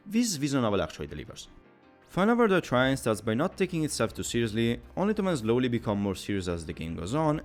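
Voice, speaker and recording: A man narrating a scripted video game review in a wordy, opinionated, somewhat formal way. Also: medium pitch. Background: background music.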